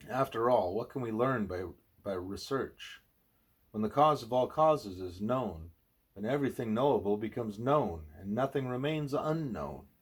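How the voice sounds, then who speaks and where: A man's voice: mid-range voice, breathy edge. Man reading a spiritual book aloud. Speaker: audiobook reader.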